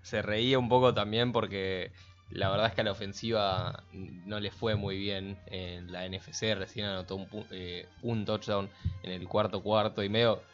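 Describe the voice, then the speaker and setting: A man's voice: fairly low pitch, steady tone, moderate pace. A man with an Argentine Spanish accent co-hosting a sports podcast, chatting casually with joking asides. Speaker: podcast co-host. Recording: muffled lo-fi audio with faint music.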